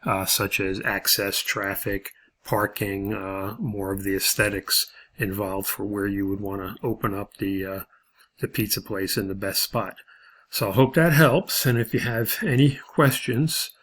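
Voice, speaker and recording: Guy teaching a video lesson, kinda low voice, really flat delivery, hesitant but goes step by step. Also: measured pace. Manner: hesitant, methodical step-by-step explaining. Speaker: instructor. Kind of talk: informal explanatory video lesson, working through problems.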